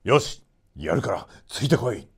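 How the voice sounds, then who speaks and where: A brave young folk hero, acted out by a male reader, speaks in a lowish, animated voice. He is firm, hearty and sure of himself, like a storybook champion addressing his followers. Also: moderate pace, gravelly, slightly rough, exaggerated voice. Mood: commanding, proud. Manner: firm, confident, declarative.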